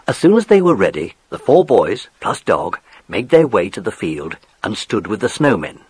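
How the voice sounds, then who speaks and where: A man's voice: fairly low pitch, sing-song, moderate pace, a little mellow, husky, no echo. A man narrating a children's adventure story in a scripted, dramatic, suspenseful storytelling manner.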